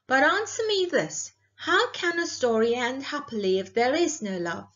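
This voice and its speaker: A woman's voice: high-pitched, animated, moderate pace, a bit bright, dull audio. A woman voicing a stern, composed old grandmother in a storybook, firm and cryptic in manner. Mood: calm, challenging.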